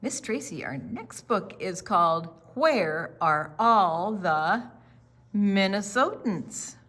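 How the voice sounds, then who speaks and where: A woman reading a picture book aloud to young children in an expressive, question-and-answer storytime style. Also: mid-range voice, slow pace.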